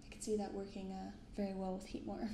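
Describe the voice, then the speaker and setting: Soft-spoken, creaky-voiced young woman. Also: medium pitch, monotone, reverberant, slight background noise. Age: young adult. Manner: unhurried, hushed commentary and reading from cards. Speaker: host of a card-opening channel. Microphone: binaural microphone.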